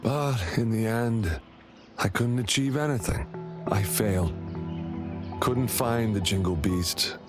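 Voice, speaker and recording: Slow-spoken timid young brother. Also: male voice, on the low side. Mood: ashamed, dejected. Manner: hesitant, apologetic, soft-hearted. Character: timid, remorseful young man, devoted older brother. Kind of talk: video game character dialogue. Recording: clear music behind the dialogue.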